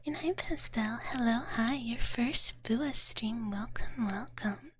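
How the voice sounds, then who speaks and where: Slow, breathy whispering woman, ASMR streamer. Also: fairly high pitch, no room sound. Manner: gentle, doting, repeats words, peppered with "like, totally". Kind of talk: whispered ASMR livestream chat in a cute big-sister character. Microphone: close microphone, dry sound.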